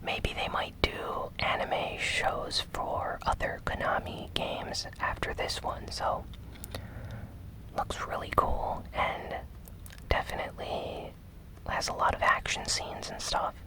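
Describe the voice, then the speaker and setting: An ASMR gaming news host who speaks entirely in a whisper. The delivery is slow and soft, an unhurried news recap given close to the microphone. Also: poor audio.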